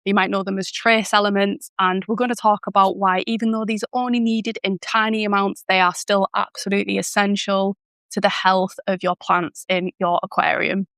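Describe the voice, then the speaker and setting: A woman who is unwell and croaky, explaining a topic in a chatty, informal way as the host of a channel. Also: mid-range voice, flat delivery, moderate pace, a bit bright, no room sound. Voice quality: gravelly. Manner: chatty, informal, with fillers and self-corrections. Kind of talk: educational explainer.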